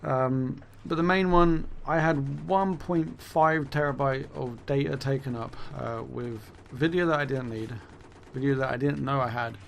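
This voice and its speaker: Rambling gravelly-voiced man, voice going. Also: mid-range voice, measured pace, hardly any pauses, a little hiss or hum. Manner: rambling, self-correcting, swears then laughs it off. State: losing his voice. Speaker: gaming YouTuber. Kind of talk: casual commentary monologue.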